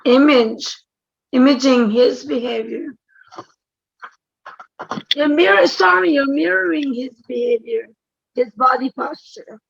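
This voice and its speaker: Female participant, high and gravelly, tentative, lo-fi line. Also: takes it slowly, pauses often. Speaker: course participant. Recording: dull, lo-fi sound.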